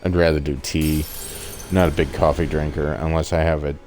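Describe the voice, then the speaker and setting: Low-voiced man streamer, laid-back short remarks, faint music underneath. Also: flat delivery, normal speed, a little mellow. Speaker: gaming streamer. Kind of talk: casual chat while playing.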